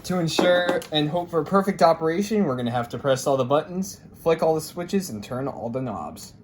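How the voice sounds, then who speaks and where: A man's voice: a little high, animated, normal speed, a little hiss or hum. A man hosting a casual unboxing video from his room, jokey and chatting to viewers and friends. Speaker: host of a radio unboxing video. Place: a room at home.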